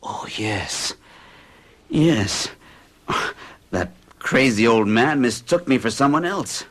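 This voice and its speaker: A hushed, dreamy hypnotized man. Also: medium pitch, expressive, slow. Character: uneasy man under hypnosis. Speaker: narrating lead character. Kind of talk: 1970s radio drama acting.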